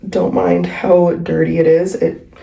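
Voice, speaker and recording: A woman's voice: lowish voice, little pitch movement, measured pace, creaky, dark tone. A young woman vlogging at home, talking casually to the camera with a stuffy, congested voice and lots of "like" and "um" fillers. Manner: chatty, rambling, frequent "like" and "um". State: congested, recovering from a cold. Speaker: vlogger and pilates instructor. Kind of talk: casual vlog talk to camera.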